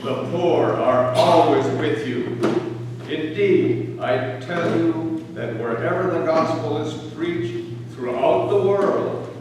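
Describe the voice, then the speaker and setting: A man playing Jesus as a solemn, deliberate religious teacher in a dramatic church reading of scripture. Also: on the high side. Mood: calm authority. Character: Jesus, solemn male religious teacher foretelling his betrayal. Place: large church during a service. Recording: very echoey church hall, distant mic, noisy.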